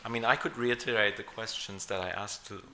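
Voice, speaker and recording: A man's voice: on the low side, fairly even intonation, fairly quick, noisy background. A man moderating a live literary panel, speaking casually with hesitant fillers. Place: literary event with audience.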